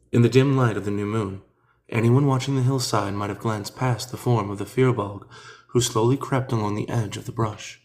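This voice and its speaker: A man reading a fantasy audiobook in a measured, expressive storytelling manner. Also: lowish voice. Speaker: narrator. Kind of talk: dramatic fantasy audiobook narration.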